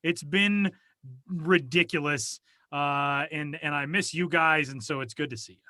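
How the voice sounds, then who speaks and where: A man's voice: fairly high pitch, measured pace, sharp tone, no echo. A man co-hosting a podcast, chatting casually and joking about himself, recorded in a small soundproofed closet studio. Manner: rambling, self-deprecating, joking. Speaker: podcast co-host. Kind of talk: casual chat. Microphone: home studio mic over video call.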